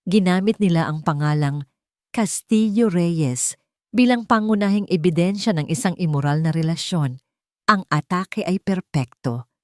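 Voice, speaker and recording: Low-voiced woman narrating, dry close sound. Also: normal speed, darkish tone, no echo. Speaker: audiobook narrator. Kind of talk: scripted dramatic story narration.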